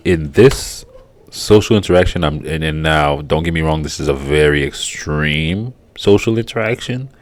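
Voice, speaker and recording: A man's voice: a bass voice, moderate pace, dry recording. A man whose first language is Haitian Creole, giving casual, profane, rambling commentary. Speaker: vlogger.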